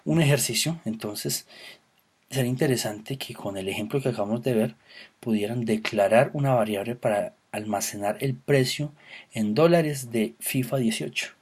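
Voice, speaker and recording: A man teaching an introductory programming course. His voice is husky and a little rough at the edges, at a middle pitch for a man. He explains step by step, with filler sounds and quick self-corrections. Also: darkish tone. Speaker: programming instructor. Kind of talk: recorded lecture.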